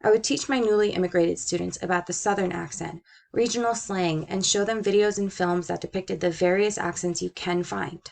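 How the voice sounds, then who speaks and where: Young woman, 20s, teacher type, slight Brooklyn accent, measured scripted storytelling with a gravelly edge. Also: on the low side, steady tone, darkish tone. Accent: slight Brooklyn-tinged American. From Puerto Rico. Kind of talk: personal narration read from a script.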